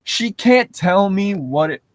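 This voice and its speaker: A man's voice: high voice, lively intonation, slow pace, no echo, studio quality. A young adult man co-hosting in a casual, rambling, joking chat, swearing freely and prone to giggling. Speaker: podcast co-host reading and riffing on horror stories. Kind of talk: casual joking chat with bits of reading aloud.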